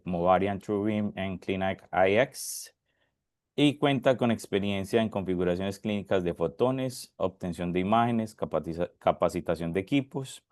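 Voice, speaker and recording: A man's voice: deep voice, dry recording, studio quality. A man hosting an online session, formally reading out the speakers' introductions, with occasional slips. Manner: reads guest bios at a steady formal pace, with small slips. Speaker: session host and moderator.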